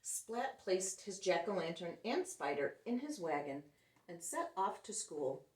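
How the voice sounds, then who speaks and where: A woman's voice: fairly low pitch, measured pace. A woman reading a picture book aloud to young children, acting out the characters and asking the listeners questions. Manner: expressive, acts out the characters. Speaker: children's storyteller. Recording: roomy echo, distant microphone.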